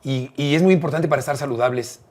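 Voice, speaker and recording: Husky-voiced Mexican man, earnest and reflective. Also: mid-range voice, quick pace, darkish tone. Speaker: interview guest, radio broadcaster. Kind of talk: warm casual interview talk.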